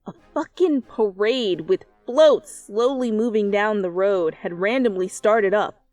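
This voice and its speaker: A woman's voice: medium pitch, a little slow, no echo. Girl reading fanfic dramatically, cusses a lot, close dry mic, light music bed. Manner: expressive, swears freely. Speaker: fan fiction reader. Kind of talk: dramatic storytelling narration. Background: soft music.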